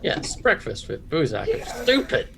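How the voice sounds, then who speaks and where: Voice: mid-range voice, big pitch swings, normal speed, creaky, noisy background. A performer voicing a grumpy, skittish male bird-folk creature with a slight Cockney accent who snaps out insults. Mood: snapping. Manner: snappish, spits insults.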